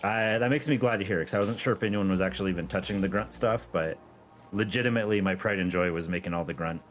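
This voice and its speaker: A man's voice: low voice, fast talker, soft background music. A man chatting casually as a guest, self-deprecating and wry about his own work. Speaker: guest, amateur game modder. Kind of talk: casual interview chat.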